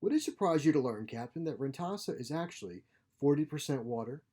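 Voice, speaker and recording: A man's voice: a little high, lively intonation, a little fast, dark tone, slightly rough. A man voicing a genial grandfatherly scientist for a story, courteous, proud and theatrical in manner. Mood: proud, teasing. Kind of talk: storybook character voice.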